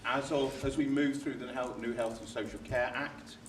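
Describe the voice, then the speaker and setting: A man's voice: mid-range voice, fairly even intonation, moderate pace. A man invited to present at a board meeting, explaining plainly and standing away from the microphone. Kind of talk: formal presentation. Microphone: distant from the mic. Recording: echoey room, distant and noisy.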